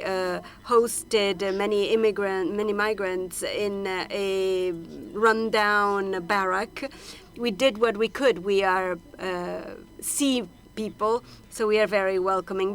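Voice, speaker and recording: A woman interpreting a panel discussion simultaneously, halting often with hesitations and restarts as she renders each speaker. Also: mid-range voice, fairly even intonation. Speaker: conference interpreter.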